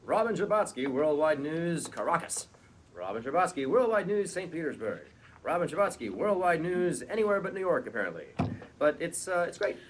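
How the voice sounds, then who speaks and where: An actor playing a wry, showy husband with theatrical, drawn-out delivery in a sitcom table read. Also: male voice, a little high, very animated. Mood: sardonic. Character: wry, sardonic, showy husband hiding his discontent. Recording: noisy live room recording.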